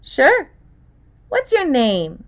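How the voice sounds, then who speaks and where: A woman voicing a precocious young girl in an audiobook, calm and clear, with a cynical, worldly edge. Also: high-pitched, very slow, voice pushed up, heavily acted. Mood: curious, assured. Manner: clear, calm, worldly beyond her years. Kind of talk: acted audiobook dialogue.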